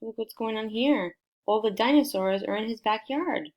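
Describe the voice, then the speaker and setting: A woman reading a picture book aloud to children in an expressive way, prompting her listeners with questions. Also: on the high side, normal speed. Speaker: storybook reader for children.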